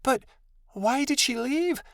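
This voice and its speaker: A man voicing an anxious, whining young female animal in a storybook, soft and questioning. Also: very high-pitched, moderate pace, no echo, clean studio sound, pitched above the performer's own voice. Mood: hurt, pleading. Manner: whimpering, questioning.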